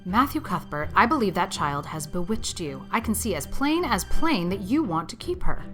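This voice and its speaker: A woman playing a stern, sharp-tongued, unyielding older spinster farm woman in an audiobook, brisk and scolding. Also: medium pitch, sing-song, soft background music. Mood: exasperated. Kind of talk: audiobook character acting.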